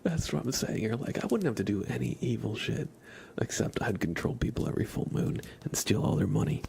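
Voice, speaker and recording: Male ASMR streamer, casual and hushed, dips into whispers, lots of vocal fry, slight background noise. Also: medium pitch, animated, a little fast. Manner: soft-spoken, often dropping into a whisper. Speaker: livestream host. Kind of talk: ASMR, casual rambling chat with live viewers.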